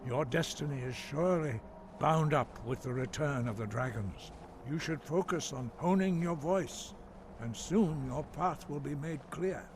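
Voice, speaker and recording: An actor playing a stern, solemn elderly monk mentor in a fantasy game, speaking in a measured, formal, grave manner. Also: male voice, fairly high pitch, natural voice. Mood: grave, cautionary. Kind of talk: scripted fantasy game dialogue. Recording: very noisy game audio with background ambience.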